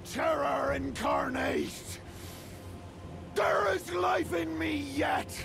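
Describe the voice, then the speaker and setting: An actor playing a tormented, maimed warrior, gravelly and bellowing in grand archaic speech, in a fantasy game. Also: male voice, very high voice, exaggerated voice. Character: tormented, maimed warrior raging with vengeance against a dragon. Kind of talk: theatrical fantasy game acting.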